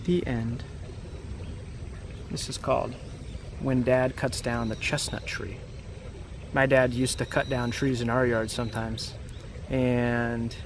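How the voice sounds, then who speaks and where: A man in his thirties reading a picture book aloud for children outdoors, with simple phrasing, chatty asides and questions to young listeners. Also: mid-range voice. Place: outdoors in a grassy, wooded yard. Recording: outdoor camera audio with background noise.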